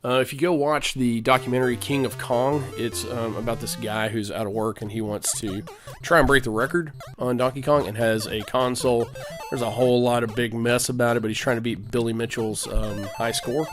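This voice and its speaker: A man with a cold giving rambling, casual commentary while playing an old video game, often clearing his throat and using fillers. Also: mid-range voice, fairly even intonation, a little fast. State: has a cold. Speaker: let's play host. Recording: game music behind the voice.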